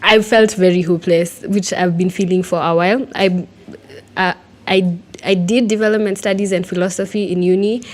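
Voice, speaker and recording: A woman's voice: on the low side, fairly even intonation, moderate pace. A woman speaking candidly and reflectively as she shares personal thoughts with a group. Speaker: writer and researcher.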